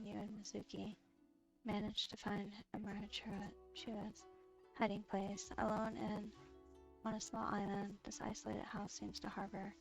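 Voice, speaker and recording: Woman streamer, monotone, gravelly fry, halting read of game text, quiet under soft background music. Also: mid-range voice, poor audio. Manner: halting, subdued reading. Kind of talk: reading game story text aloud.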